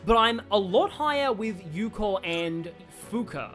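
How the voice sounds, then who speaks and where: A woman's voice: mid-range voice, lively intonation, measured pace, fairly bright tone. A woman giving casual, rambling live commentary on a game, chatty and wry. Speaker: game streamer. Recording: game music behind.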